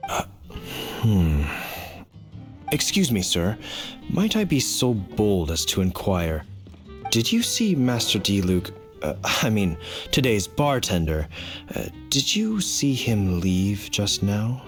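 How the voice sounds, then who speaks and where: A suspicious but earnest knight from a video game. He talks slowly, formally and hesitantly, with lively rises and falls. Soft music is behind him. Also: on the low side, full character voice. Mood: hesitant, polite.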